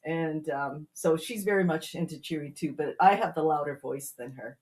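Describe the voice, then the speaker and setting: A woman's voice: low-pitched, normal speed, a little mellow. Cheerful laughing mother on a podcast. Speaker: guest, mother of players. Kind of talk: casual interview chat.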